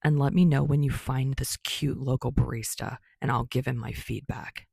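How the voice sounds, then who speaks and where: Woman voicing a gruff, grumpy young man. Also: very deep voice, steady tone, moderate pace, mellow, dry recording, clean studio sound, heavily acted. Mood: flat, sardonic. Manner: curt, mumbling, blunt. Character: gruff, grumpy, foul-mouthed young man, easily irritated.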